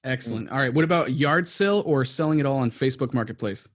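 A man's voice: mid-range voice, fast talker, fairly bright tone. A man from New England hosting a podcast, giving upbeat introductions and asking icebreaker questions. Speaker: podcast host.

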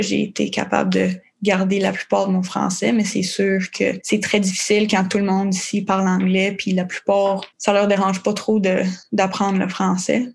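A woman's voice: mid-range voice, creaky, slight background noise. A young francophone woman telling her story casually, with lots of fillers. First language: French. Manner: rambling, filler-heavy. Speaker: interviewee. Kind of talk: casual testimony.

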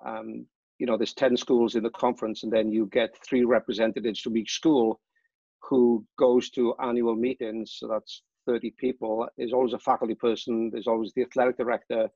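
A man's voice: mid-range voice, level tone, moderate pace, gravelly, mellow, husky, dry recording. Middle-aged Welsh man, dull dry sound. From Wales. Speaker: sport management professor.

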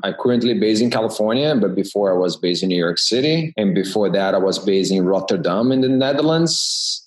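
A man's voice: on the low side, low-quality recording. A middle-aged man, a guest talking in a casual interview, often repeating words and restarting. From Brazil. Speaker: guest designer.

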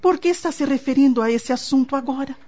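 A woman's voice: sits high, quick pace, dark tone, lightly acted. A woman playing an anxious, fretful mother and grandmother in a radio soap opera. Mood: concerned. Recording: dull lo-fi radio recording.

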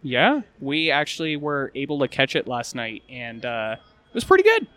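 A man's voice: medium pitch, normal speed. Guy hosting a movie podcast, laid back, lots of uh and kinda. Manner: relaxed, loose questions with fillers. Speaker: podcast host. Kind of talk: casual interview.

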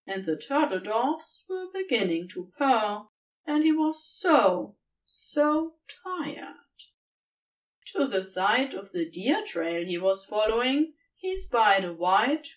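Female fiction narrator, slow and measured delivery with animated intonation, miked from a little distance. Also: medium pitch. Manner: measured, expressive storytelling. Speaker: podfic reader. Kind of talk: scripted story narration.

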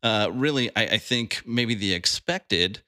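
A man hosting a podcast, speaking in a relaxed conversational way with many fillers. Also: on the low side, measured pace, no room sound, studio quality. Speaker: podcast host. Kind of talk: conversational interview.

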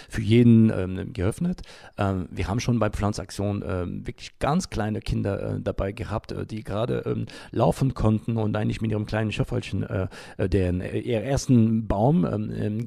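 A man giving unscripted interview answers, haltingly, often pausing and searching for his words. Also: on the low side, creaky, mellow, no echo, studio quality. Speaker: interview guest, environmental group founder.

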